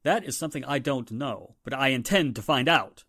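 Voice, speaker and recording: A man performs a tough police inspector from an old radio drama. He is curt, bossy and sarcastic, with a mid-pitched voice recorded close and dry. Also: normal speed, sharp tone, breathy edge, no echo. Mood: grave. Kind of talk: 1940s radio drama acting.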